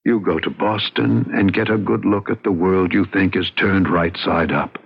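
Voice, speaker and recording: A man's voice: low-pitched, steady tone, creaky, breathy edge, full character voice. An actor playing a haunted, obsessive man in a radio drama, earnest and dramatic. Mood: defiant. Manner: earnest, dramatic, halting when pressed. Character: haunted, agitated, obsessive man drawn to mysteries. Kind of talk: radio drama acting with first-person narration. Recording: narrow-band, noisy old radio recording.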